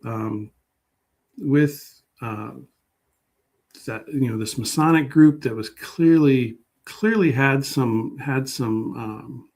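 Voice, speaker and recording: Mid-pitched man, halting, casual interview guest. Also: darkish tone. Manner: halting, thinking aloud. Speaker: guest public historian.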